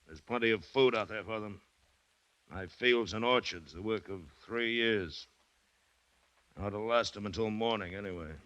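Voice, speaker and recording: A man's voice: on the low side, moderate pace, a bit bright, highs cut off, lightly acted. A man acting a defiant plantation owner in a 1940s radio drama, commanding and clipped in his delivery. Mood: grim resolve. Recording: noisy restored 1940s radio recording.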